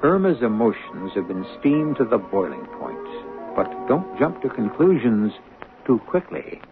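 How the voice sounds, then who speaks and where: A man hosting and narrating an old-time radio drama, theatrical and musing, with a gravelly voice and rhetorical pauses. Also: fairly low pitch, very animated. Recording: narrow old radio recording. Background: music.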